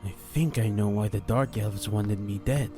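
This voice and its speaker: A man voicing a grave, brooding fantasy warrior who speaks in a serious, deliberate manner. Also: on the low side, music in the background, natural voice.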